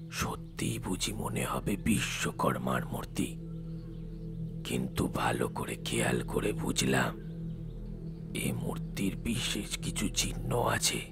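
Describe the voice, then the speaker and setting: Man as a slow, gravelly tantric storyteller. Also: extremely low pitch, heavily acted. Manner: slow, hushed, deliberate storytelling. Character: solemn, compassionate male tantric storyteller. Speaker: narrating lead character. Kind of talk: dramatic horror audio story narration.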